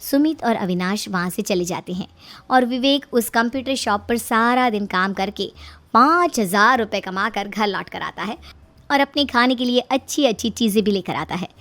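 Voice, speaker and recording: A woman's voice: fairly high pitch, moderate pace. A woman storyteller reading a scripted story narration.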